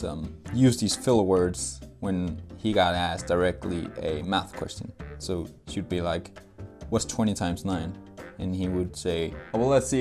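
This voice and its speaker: Young guy vlogging to camera, kinda low voice, faint music behind, talks about himself candidly. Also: darkish tone. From Denmark. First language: Danish. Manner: candid, self-deprecating. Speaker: YouTuber. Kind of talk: scripted vlog to camera.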